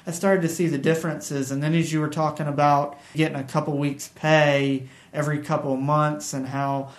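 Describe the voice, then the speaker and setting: An interviewer voice at a middle pitch, asking follow-up questions in an encouraging, conversational way. The phrasing is sometimes halting, as the speaker searches for words. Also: level tone.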